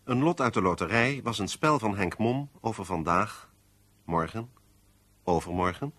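A man's voice: lowish voice, animated, moderate pace, fairly bright tone, no echo. A man reading scripted credits formally, as a radio drama announcer.

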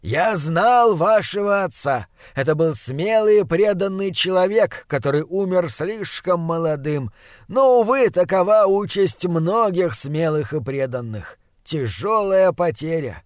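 A man's voice: sits high, lively intonation, a little slow, dry recording, studio quality, pitched above the performer's own voice. A man voicing a stocky, sentimental old mentor in an audiobook, exclaiming emotionally with phrases. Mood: solemn, tender. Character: stocky elderly Austrian scholar, effusive, sentimental, warm-hearted old mentor. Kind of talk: acted character in audiobook narration.

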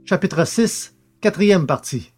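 A man's voice: high voice, lively intonation, slow, a little mellow, husky, soft background music. A man narrating a science fiction novel in a scripted, literary storytelling style.

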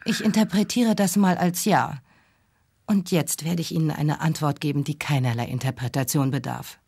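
A low, husky-voiced female talk radio host with a sharp tongue. She is sarcastic, self-assured and condescending, in a dry, close radio drama sound. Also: moderate pace, no room sound, full character voice. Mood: mocking, combative. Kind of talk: German radio drama acting.

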